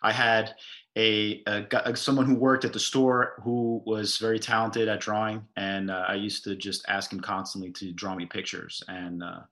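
A man speaking as an artist on an online panel, relaxed with frequent fillers, over a video call. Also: fairly low pitch, moderate pace. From United States. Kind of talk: casual panel talk.

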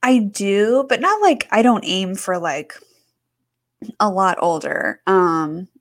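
A woman's voice: medium pitch, lively intonation, dry recording. Casual 20s woman podcast co-host, filler-heavy chat, dry close sound. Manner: chatty, lots of fillers, quick bursts.

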